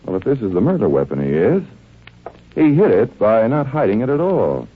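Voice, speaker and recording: An actor playing a genial, shrewd detective doctor in 1940s radio drama style, with a folksy, musing delivery. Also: male voice, on the low side, huge pitch swings, normal speed, slightly rough, exaggerated voice. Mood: puzzled, deliberate. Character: genial, shrewd male detective doctor investigating a desert murder. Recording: muffled, narrow-band vintage radio recording.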